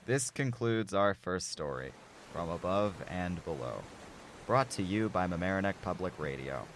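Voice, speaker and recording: A man's voice: low-pitched, animated, moderate pace, a little hiss or hum. A man hosting an audio drama anthology with scripted, dramatic narration. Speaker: narrator, host.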